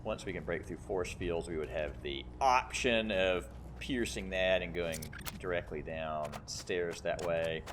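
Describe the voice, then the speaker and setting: A man's voice: sits low, a little slow, few pauses, fairly bright tone, a little hiss or hum. A man giving casual video game commentary, thinking aloud and explaining as he plays. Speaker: gamer playing and commentating.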